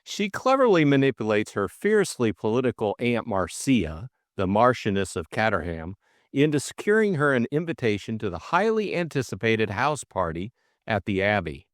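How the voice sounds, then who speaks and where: Expressive male audiobook narrator, dry sound. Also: lowish voice, normal speed, dry recording. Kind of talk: scripted story narration.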